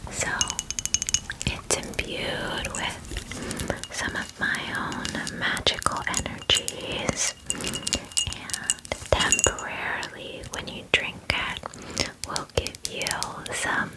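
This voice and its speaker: A woman voicing a bubbly, friendly female dragon in an ASMR fantasy roleplay, chatting in a soft, breathy, girly whisper with casual filler words. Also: low-quality recording.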